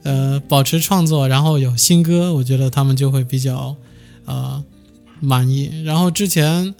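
A man's voice: mid-range voice, normal speed, creaky, a little mellow, soft background music. A man speaking casually as a musician being interviewed, with a modest, easygoing manner.

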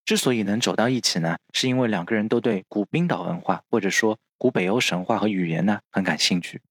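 A man hosts a podcast in a fairly low voice with a rough, husky grain. He explains from notes, often tagging phrases with trailing particles. The recording is very dry. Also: dry recording. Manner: frequent drawn-out filler particles at phrase ends. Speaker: podcast host.

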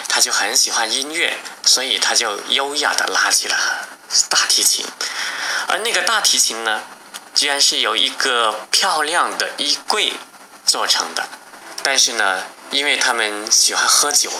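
A man with a strikingly bright, sharp voice speaks gently to young listeners as he introduces his fairy tale. A faint hiss sits under the recording. He talks in a reflective, unhurried way. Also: medium pitch. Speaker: children's author introducing his story. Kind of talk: casual spoken introduction to children.

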